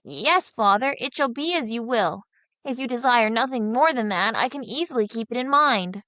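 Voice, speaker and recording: Naive farm boy, voiced by a woman reading, earnest and higher than her own voice. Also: expressive, moderate pace, bright, forceful tone, dry recording. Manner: earnest, guileless. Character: naive fearless young male peasant boy.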